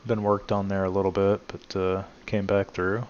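Male host, deep and even delivery, relaxed filler-heavy garage walkaround, dull phone-recorded sound. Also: normal speed, slight background noise. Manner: relaxed, many "uh" fillers, addresses viewers as guys. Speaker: channel host showing his truck restoration. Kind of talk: casual walkaround narration. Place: home garage.

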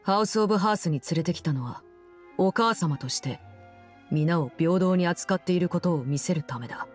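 An actress playing a cold, composed game villainess, telling a story in a measured, solemn and restrained way. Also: female voice, on the low side, lively intonation, faint music behind, close to an everyday voice. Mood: somber, restrained. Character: cold, composed woman, Fatui Harbinger who runs an orphanage. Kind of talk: scripted game story narration.

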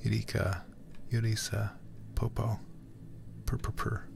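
A man streaming a game in ASMR style, murmuring in a hushed, breathy, gravelly whisper close to the microphone. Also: lowish voice, flat delivery, a little slow, background noise. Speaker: livestream host, gamer.

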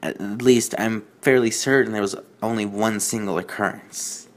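Low-voiced man, halting sardonic commentary. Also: steady tone, noisy background. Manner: halting, repeats himself, sardonic. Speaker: host reading fan fiction aloud. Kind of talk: casual mocking commentary on amateur writing.

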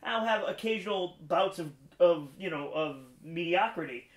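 A man with a noticeably high voice talks casually as a co-host. His delivery is informal and conversational. The recording has a strong roomy echo. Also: moderate pace. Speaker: co-host of gaming talk show. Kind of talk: casual chat about video games. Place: small room lined with display cases, indoors.

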